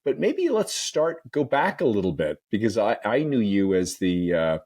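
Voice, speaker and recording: A man's voice: on the low side, big pitch swings, normal speed, a little mellow. A man hosting a healthcare podcast, talking in a conversational way that often stalls on repeated words and restarts. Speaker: podcast host. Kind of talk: conversational interview.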